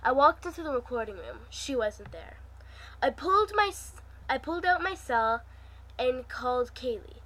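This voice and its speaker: A woman's voice: high-pitched, expressive, normal speed, fairly bright tone. A woman hosting her own channel, chatting informally and reading a fan story aloud in an amateur, casual way.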